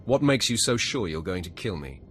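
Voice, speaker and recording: A man's voice: deep voice, big pitch swings, moderate pace, a bit bright, slight background noise. A man playing a blunt, confident acolyte hero in a video game, terse and dryly flippant. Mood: defiant. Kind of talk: video game cutscene acting.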